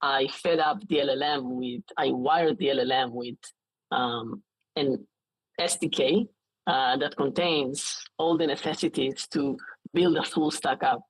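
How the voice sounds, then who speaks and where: A man in his late twenties with an Israeli accent, talking casually as an interview guest in a halting way with frequent false starts. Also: mid-range voice, slightly rough, dry recording, poor audio.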